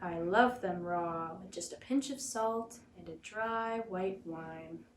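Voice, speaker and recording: A woman voicing a wily, hungry male fox from a storybook, with melodramatic, drawn-out delivery. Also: on the low side, reverberant, distant mic, a little hiss or hum. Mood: dreamy, greedy. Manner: melodramatic, drawn-out pleading and gushing.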